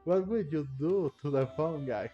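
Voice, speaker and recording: A man's voice: fairly high pitch, expressive, moderate pace, highs cut off, soft background music. A man giving casual playthrough commentary on a game, thinking aloud hesitantly and repeating phrases. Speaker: gaming content creator.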